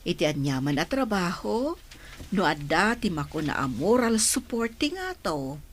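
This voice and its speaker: An actress playing a calm, supportive mother in a radio drama, with an Ilocano Filipino accent. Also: lowish voice, normal speed, slight background noise. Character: calm, supportive middle-aged or older mother.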